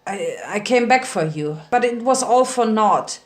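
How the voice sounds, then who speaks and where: Storybook pony father, male, female reader, weary, tender, remorseful, halting delivery. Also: fairly high pitch, expressive. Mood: guilt-ridden. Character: lanky stallion pony father, guilt-ridden, weary, loving.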